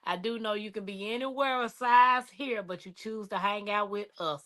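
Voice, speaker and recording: Bright-voiced woman in her forties. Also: mid-range voice, moderate pace. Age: 40s. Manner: playful, laughing, Southern-style slang. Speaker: livestream host. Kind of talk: casual livestream chat.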